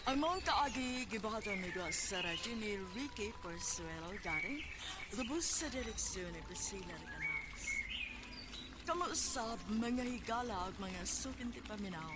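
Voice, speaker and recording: A woman hosts a radio drama in scripted announcer style. Her Cebuano-accented delivery is slow and mid-pitched. The broadcast recording carries heavy noise. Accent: Cebuano Filipino.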